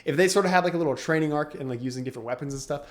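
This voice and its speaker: A man's voice: a little high, very fast. A young man co-hosting a podcast, talking casually and animatedly, laughing often and acting out quotes. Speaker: podcast co-host. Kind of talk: casual chat.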